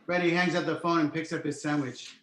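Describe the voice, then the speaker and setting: A man's voice: on the high side, fairly even intonation, fairly quick, a bit bright. A man narrating a screenplay, reading out scene headings and stage directions from the script. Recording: roomy, echoing sound.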